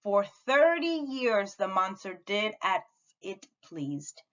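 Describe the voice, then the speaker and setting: A woman reading a children's story aloud with dramatic, deliberate expression. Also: medium pitch, sing-song, a bit bright, dull audio. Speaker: storybook reader at a school read-aloud.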